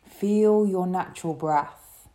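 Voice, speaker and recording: A woman leading a guided relaxation meditation as a yoga teacher, speaking in a soothing, gentle way. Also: on the low side. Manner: soothing, gentle, unhurried instruction.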